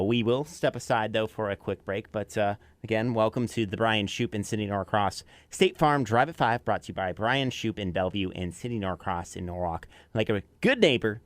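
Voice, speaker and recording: Male American country-radio DJ, mid-pitched, conversational links and countdown reads, dry studio-tight sound. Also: a bit bright, clean tone, no echo. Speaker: afternoon drive radio DJ.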